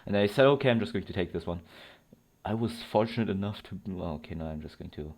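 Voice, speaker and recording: A man's voice: low-pitched, expressive, quick pace, darkish tone. A man giving casual let's play commentary, rambling and thinking aloud as he plays. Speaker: game streamer.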